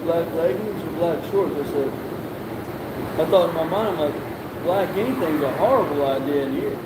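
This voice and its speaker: A man's voice: on the high side, moderate pace. Offhand man reading live chat aloud. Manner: reads chat comments aloud in a relaxed, offhand way. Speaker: live-stream host reading viewer chat. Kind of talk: casual live-stream chat. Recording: echoey workshop with loud background noise.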